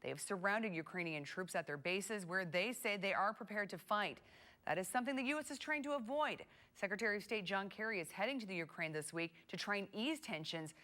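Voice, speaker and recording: Female TV news anchor, American, medium pitch, scripted studio delivery. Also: animated, fairly quick, bright, forceful tone.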